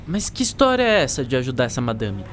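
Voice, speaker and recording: Cheeky Brazilian boy, very low-pitched. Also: big pitch swings, fast talker, a little hiss or hum. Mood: puzzled, curious. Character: cheeky, teasing young boy, playful. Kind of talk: radio drama acting.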